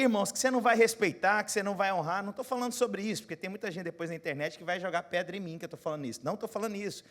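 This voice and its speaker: A Brazilian pastor in his forties preaching a sermon, moving from quiet, close asides to loud, rising exhortation and putting questions straight to his congregation. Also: very high-pitched. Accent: Brazilian Portuguese. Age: 40s. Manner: talks straight to the congregation, asking them questions.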